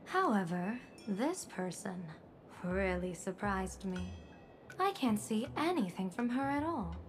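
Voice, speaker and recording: Playful fortune-telling general, slow and cryptic. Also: female voice, mid-range voice, sing-song, soft background music. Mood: intrigued. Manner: theatrical, measured, cryptic. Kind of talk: video game voice acting.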